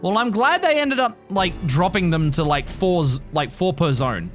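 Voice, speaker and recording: A man's voice: sits high, expressive, moderate pace, a bit bright, soft background music. A man giving casual, rambling live commentary as he streams a game, informal and sweary.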